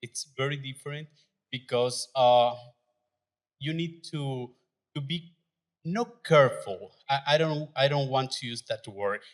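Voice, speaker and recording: A man speaks slowly in broken, English, stopping often to search for the word. He says "uh" a lot and lets out nervous laughs as he shares his story with a live audience at an event. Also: medium pitch. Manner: halting non-native English with many fillers and restarts, nervous, laughing. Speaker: adult learner sharing his story. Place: event venue.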